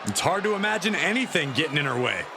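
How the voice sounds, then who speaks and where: A man's voice: fairly high pitch, sing-song, quick pace, creaky. A man playing a brash, opinionated pro wrestling commentator in a video game, calling action with punchy emphasis. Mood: emphatic. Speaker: color commentator. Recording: loud arena crowd noise throughout. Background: roaring arena crowd.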